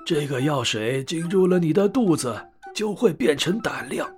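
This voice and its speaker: A man voicing a kindly but self-important old wizard in a children's storybook, with hesitant, drawn-out phrasing. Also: on the high side, expressive, darkish tone, soft background music, lightly acted. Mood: coaxing.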